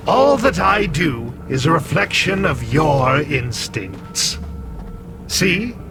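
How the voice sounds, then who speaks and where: An actor playing a smug, manipulative AI villain in a video game cutscene, speaking in a deliberate, theatrical, taunting manner. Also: male voice, a little high, creaky, exaggerated voice. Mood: mocking, superior. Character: smug, manipulative artificial intelligence villain, mocking humanity.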